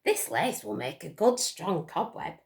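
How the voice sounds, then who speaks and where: A woman's voice: fairly low pitch, very animated, a little slow. A woman voicing a gleeful, scheming male spider in a children's picture book. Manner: triumphant, exclaiming.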